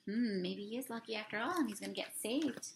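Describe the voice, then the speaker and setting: A woman's voice: medium pitch, fairly quick, slight background noise. A young woman reading a picture book aloud to children, expressively drawing out words, talking through the pictures and asking her listeners questions. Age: young adult. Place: small room indoors.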